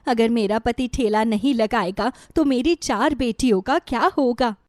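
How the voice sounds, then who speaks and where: A woman's voice: a little high, a little slow, no room sound, studio quality, close to an everyday voice. A woman voicing a desperate village wife who pleads for her children in storybook melodrama. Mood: pleading.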